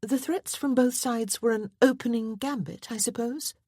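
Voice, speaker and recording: A woman voicing an earnest, gentle young lady in a storybook reading, gently questioning. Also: on the high side, normal speed, no echo, clean studio sound, pitched above the performer's own voice, close to an everyday voice.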